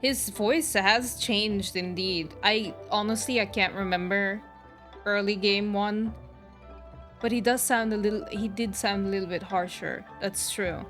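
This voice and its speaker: A woman's voice: medium pitch, a little slow, a bit bright. A woman streamer giving casual, enthusiastic reaction commentary as she plays a game. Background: game music.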